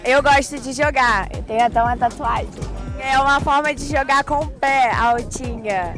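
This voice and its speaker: A woman from Espírito Santo gives frank, emphatic answers in a beach street interview. Her voice sits high, with clear music behind her. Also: normal speed. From Espírito Santo, Brazil. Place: outdoors on a beach.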